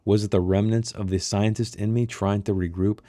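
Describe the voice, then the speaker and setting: A man's voice: lowish voice, steady tone, brisk, warm tone, dry recording, studio quality. A man hosting a read-along book club, reading a novel aloud and breaking off to muse on it in casual commentary with rhetorical questions.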